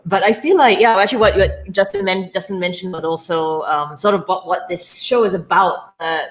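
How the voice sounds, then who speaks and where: A woman's voice: on the low side, fairly quick. A woman hosting an online poetry talk, asking questions in a tentative way with many hedges and fillers. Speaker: host of the talk. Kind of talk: casual moderating.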